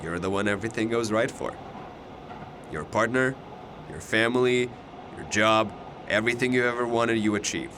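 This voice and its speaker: A man's voice: on the low side, normal speed, pauses often, background noise, lightly acted. A man playing a cocky, chatty young double who knows it all, with a glib, teasing way of talking in an audio drama. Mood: earnest. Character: cocky, chatty, all-knowing alternate-universe male double of a student.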